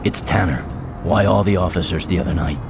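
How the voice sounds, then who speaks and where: A man's voice: low-pitched, fairly quick, creaky, over music. An actor playing a tough, guarded undercover wheelman in a video game, laconic and understated. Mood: cool, guarded. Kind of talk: dramatic video game cutscene acting.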